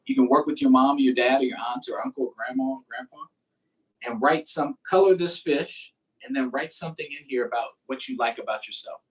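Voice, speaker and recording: A man's voice: mid-range voice, fairly quick, distant mic, poor audio. A man hosting a storytime, reading aloud and chatting simply and encouragingly with young children. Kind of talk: read-aloud and casual chat to young children.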